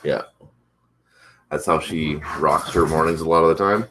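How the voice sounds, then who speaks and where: A man hosting a casual morning chat, rambling with many fillers, his voice congested and a bit rough from allergies. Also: a bass voice, steady tone, moderate pace, fairly bright tone, slight background noise. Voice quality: congested, slightly rough throat. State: stuffed up from allergies. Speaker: vlog host.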